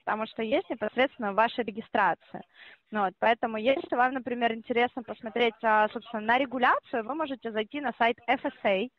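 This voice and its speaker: A woman's voice: on the high side, fast talker, no room sound. A woman working as a call-center operator on a phone call, explaining at length and insisting on her point. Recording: muffled phone line.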